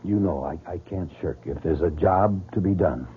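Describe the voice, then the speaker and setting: An actor playing an earnest, romantic gentleman planter, theatrical and impassioned, in an old radio drama. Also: low-pitched, normal speed, natural voice. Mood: eager, insistent. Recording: tinny, noisy old radio broadcast.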